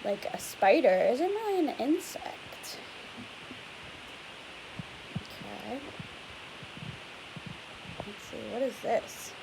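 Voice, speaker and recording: A woman's voice: fairly high pitch, very animated, drawn-out words, vocal fry, pauses often. A young woman teacher reading a picture book aloud to children, chatty and explanatory, stopping often to ask questions. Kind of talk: read-aloud lesson for children. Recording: faint hiss.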